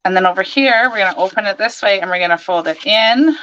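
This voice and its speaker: Hoarse woman, bright voice, chatty live crafting demo, slightly dull audio. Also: medium pitch, quick pace. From Canada. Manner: chatty, greets viewers by name, talks while working. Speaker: stamping demonstrator hosting a live stream.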